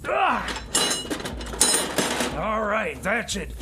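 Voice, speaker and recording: A man playing a scatterbrained, excitable handyman sidekick in a comedy radio play, stammering and repeating himself as he rambles. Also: high voice, very slow, heavily acted. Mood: busy, muttering. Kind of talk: improvised comedy radio theater. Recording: loud background noise.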